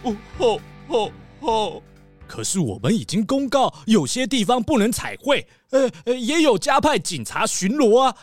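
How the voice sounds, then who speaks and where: A flustered, dutiful town official in a children's radio play. He stammers and frets in a very animated voice, over faint music. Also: very high voice, normal speed, no room sound, studio quality, exaggerated voice. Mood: dismayed. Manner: fussy, stammering, exclaims "aiyo-yo". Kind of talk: children's audio drama acting.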